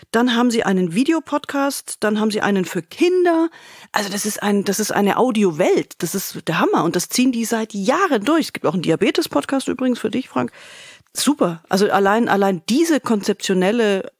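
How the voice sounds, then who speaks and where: A woman's voice: mid-range voice, dry recording. Woman podcast cohost, chatty and opinionated, really emphatic, dry recording. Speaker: podcast co-host reviewing podcasts. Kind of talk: spontaneous conversational review.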